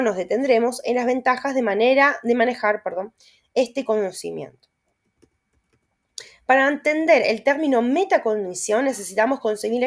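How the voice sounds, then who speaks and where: A young Argentine woman with a Rioplatense accent, reading lecture notes aloud and adding asides and tag questions as she explains. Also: a little high. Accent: Rioplatense Spanish. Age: young adult. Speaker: student teacher.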